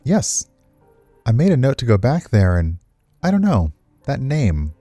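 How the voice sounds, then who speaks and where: A man's voice: mid-range voice, huge pitch swings, measured pace, mellow, no echo, faint music behind. A man reading visual novel dialogue as a young woman dancer, earnest, hesitant and a little defensive.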